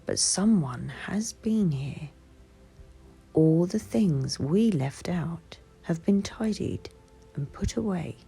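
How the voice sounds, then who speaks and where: Old toy bear read by a woman: calm, low, very breathy, gentle storybook delivery over music. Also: slow pace, full character voice. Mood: puzzled. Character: calm, kindly old male teddy bear.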